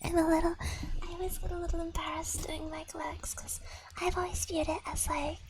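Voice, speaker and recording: A young woman whispering softly into a binaural microphone on an ASMR livestream, greeting chat viewers in a giggly, self-deprecating way. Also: extremely high pitch, little pitch movement, fairly quick, noisy background. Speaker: ASMR streamer. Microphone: binaural dummy-head mic.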